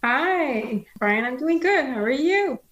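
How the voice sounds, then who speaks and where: A woman's voice: high-pitched, animated, slow, a bit bright. A woman from Guyana who grew up in Florida, chatting casually as an interview guest on slightly lo-fi audio. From born in Guyana, moved to Florida as a child. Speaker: podcast guest.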